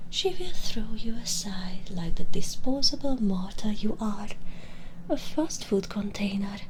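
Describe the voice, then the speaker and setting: A cruel, vengeful female vampire, played by a woman in an intimate audio roleplay. She speaks slowly in a breathy half-whisper, menacing and full of mockery, straight at the listener. The close binaural recording has faint ambient noise behind the voice. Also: medium pitch, fairly bright tone, rough recording. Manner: slow, menacing, mocking, addressing the listener directly. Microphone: close binaural microphone.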